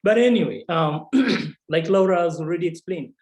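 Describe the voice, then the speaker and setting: A man with a Kenyan accent, an assistant chaplain, telling his story in a relaxed and playful way. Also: a little high, moderate pace. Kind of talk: casual storytelling on a video call. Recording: roomy echo.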